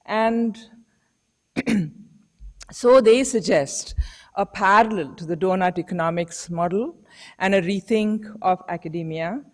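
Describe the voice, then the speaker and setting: Female academic lecturer, slow deliberate reflective delivery, rhetorical questions. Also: on the low side, a little mellow. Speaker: professor giving a public lecture. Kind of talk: public lecture. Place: lecture hall.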